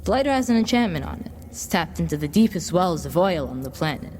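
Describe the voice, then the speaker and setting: A woman's voice: on the low side, sing-song, quick pace, darkish tone. Coaxing devil boy in reverberant hall. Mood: grandiose. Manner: coaxing, salesman-like. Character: smooth-tongued devil boy, tempting gift-giver. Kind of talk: audio drama acting. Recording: long reverb, background noise.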